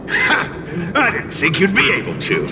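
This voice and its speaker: A man voicing a cocky, scornful little demon in a video game, brash and sneering, with a gravelly voice. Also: sits high, wildly swooping pitch, measured pace, background noise, heavily acted. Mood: gruff, grudging.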